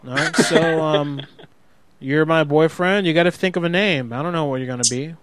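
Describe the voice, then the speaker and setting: A man's voice: on the high side, normal speed, background noise. A man who hosts prank calls, chatting casually and loosely with his friends between calls. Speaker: prank caller, show host.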